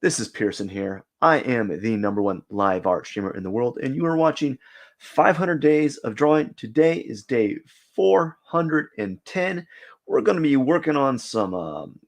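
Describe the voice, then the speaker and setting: A man streaming live as he draws, talking casually in a raspy, cracky voice and rambling as he corrects himself and thinks aloud. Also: medium pitch, expressive, normal speed. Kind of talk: casual live stream chat while drawing.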